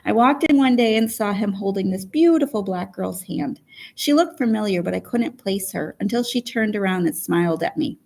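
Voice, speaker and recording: A woman narrating a first-person story as an audiobook reader, in an expressive, storytelling way. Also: mid-range voice, normal speed, gravelly.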